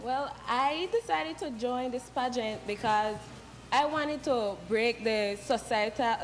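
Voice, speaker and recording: A young woman answering a TV interviewer earnestly, pausing now and then to find her words. Her voice is a little higher than usual for a woman. A faint noise hums behind her. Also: rough recording. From Belize. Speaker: pageant contestant.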